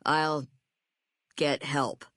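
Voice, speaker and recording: A woman's voice: low-pitched, flat delivery, very slow, fairly bright tone, no echo, clean studio sound, exaggerated voice. A woman voicing a brash, hard-bitten female army sniper corporal in a video game, with a blunt, sardonic, tough delivery. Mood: grudging, resigned.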